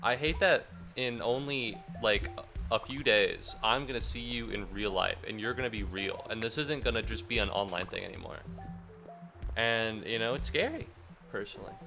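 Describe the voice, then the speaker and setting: A man's voice: lowish voice, moderate pace, vocal fry, music in the background. Rambling, sniffly young man streaming. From United States. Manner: rambling, self-mocking, talks to chat. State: a little sniffly after a cold. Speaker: game streamer. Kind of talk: casual livestream chat while gaming.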